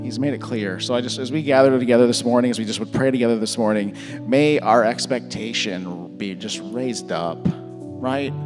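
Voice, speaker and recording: A slightly hoarse man in his thirties speaks into a handheld mic on a church stage, his mid-pitched voice carrying a long reverb from the hall. He preaches and prays in a relaxed, rambling way, with soft music playing behind him. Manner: relaxed, rambling with many fillers and restarts. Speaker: pastor. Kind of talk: informal sermon and prayer. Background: soft worship music.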